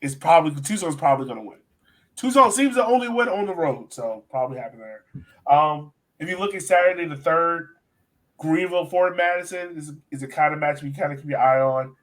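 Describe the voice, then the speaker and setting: Higher-pitched man chatting casually about sports. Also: expressive, a little fast, fairly bright tone, poor audio. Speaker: soccer podcast host.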